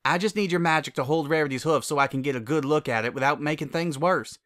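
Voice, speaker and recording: A firm, caring country mare played by a man from a cartoon pony story. The delivery is folksy and blunt, pitched a little above the reader's own. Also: fast, a bit bright, lightly acted. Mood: brisk. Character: plain-spoken, practical country farm mare, caring and firm.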